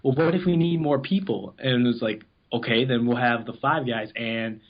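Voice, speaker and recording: A man's voice: medium pitch, normal speed. Rambling casual man on dull lo-fi audio. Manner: rambling, informal, acts out quoted remarks. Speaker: interview guest, amateur voice actor in an abridged anime series. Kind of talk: casual interview chat, telling anecdotes.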